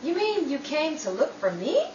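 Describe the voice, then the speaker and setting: A woman playing a jealous, sharp-tongued young wife in a theatrical old-time radio style. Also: high-pitched, sing-song, moderate pace. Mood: surprised, unsure. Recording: roomy, distant, muffled, hissy amateur recording.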